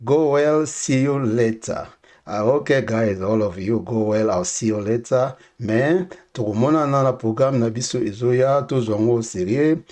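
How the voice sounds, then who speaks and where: A man teaching English phrases, saying each one twice, slowly and clearly, for learners to repeat. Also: mid-range voice, a little mellow. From Democratic Republic of the Congo. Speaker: language teacher. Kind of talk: casual spoken language lesson with repeated phrases.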